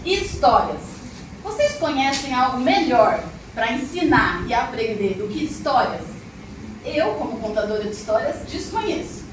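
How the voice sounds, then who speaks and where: A woman's voice: fairly high pitch, sing-song, moderate pace. A middle-aged woman, a storyteller and arts teacher, telling stories expressively and chatting directly with a live audience. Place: echoey room with a live audience. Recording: echoey room, distant mic, noisy ambience.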